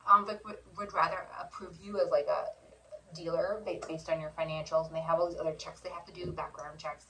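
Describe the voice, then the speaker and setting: A soft-spoken woman co-hosting a casual chat, explaining step by step with many pauses and ums. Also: low-pitched, fairly even intonation, distant mic, slight background noise, low-quality recording. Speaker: co-host.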